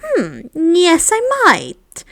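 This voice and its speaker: A woman's voice: very high voice, huge pitch swings, drawn-out words, vocal fry, a bit bright, pitched above the performer's own voice, exaggerated voice. A woman voicing a kindly, fussing older female shopkeeper in a story, welcoming and sing-song in manner.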